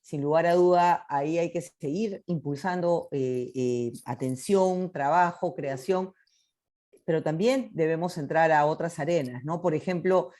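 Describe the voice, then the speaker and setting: A woman's voice: low voice, measured pace, warm tone. A woman from Peru giving closing remarks at a panel, thoughtful, with many fillers and tag questions.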